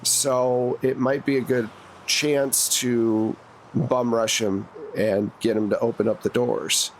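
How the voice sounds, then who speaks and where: A man's voice: mid-range voice, flat delivery, slow pace, slight background noise, rough recording. A man, narrating conversationally as the game master of a tabletop game.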